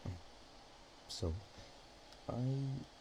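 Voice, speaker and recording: A man's voice: medium pitch, extremely slow, pitched above the performer's own voice. A man voicing a bashful, affectionate dragon girl in an intimate ASMR roleplay, stammering and hesitant. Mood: bashful, awkward. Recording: faint background noise.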